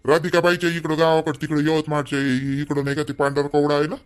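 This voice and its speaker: A man's voice: fairly high pitch, monotone, quick pace, smooth, natural voice. A man playing an insistent village uncle in a cartoon, reasoning persuasively in Vidarbha Marathi.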